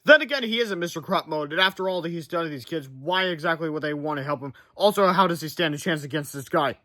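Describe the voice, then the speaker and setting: A man's voice: a little high, quick pace, pushed and bright. A man narrating a storybook aloud in a dramatic way, with casual asides.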